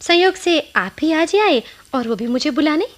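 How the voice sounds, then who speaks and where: A wounded, resolute wife in a radio play. Her voice is high. She speaks with earnest, dramatic feeling. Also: animated, moderate pace. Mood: defiant.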